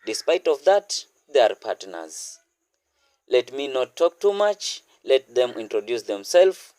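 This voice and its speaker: A man's voice: mid-range voice, sing-song, measured pace, a little mellow, dry recording, studio quality. A man, hosting a radio interview and putting simple questions to his guests. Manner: welcoming, rhyming opener, simple questions. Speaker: radio host.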